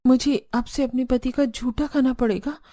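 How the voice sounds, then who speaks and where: High-pitched dismayed young bride played by a woman. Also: fairly even intonation, normal speed, mellow, dry recording, clean studio sound. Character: young new bride, dismayed by strict in-law household. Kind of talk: cartoon story dialogue.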